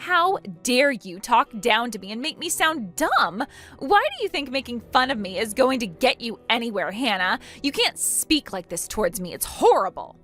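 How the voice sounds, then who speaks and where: A woman's voice: on the high side, big pitch swings, moderate pace, bright, forceful tone, faint music behind, heavily acted. A woman voicing an indignant, self-pitying young wife in a melodramatic story, full of incredulous questions and outraged exclamations. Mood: offended. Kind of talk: acted dialogue in a melodramatic story.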